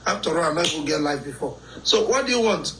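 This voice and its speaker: A man's voice: on the high side, lively intonation, fast talker, a bit bright, slight background noise, low-quality recording. A Nigerian man calling into a live chat, boastful and joking in Nigerian Pidgin. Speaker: caller.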